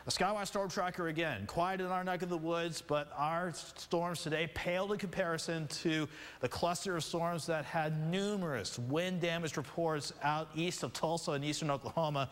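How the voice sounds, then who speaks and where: Higher-voiced TV weatherman in a reverberant room. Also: steady tone, normal speed, fairly bright tone. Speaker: television weather forecaster. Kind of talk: broadcast weather report, conversational ad-libbed presenting. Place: television studio.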